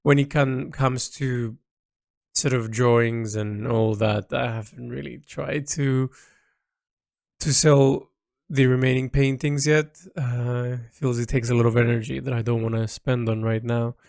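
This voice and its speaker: A man's voice: mid-range voice, steady tone, measured pace, gravelly, darkish tone, no echo, studio quality. A man recording an informal, reflective audio diary, speaking hesitantly as he thinks aloud and lets phrases trail. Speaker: artist recording a personal audio log.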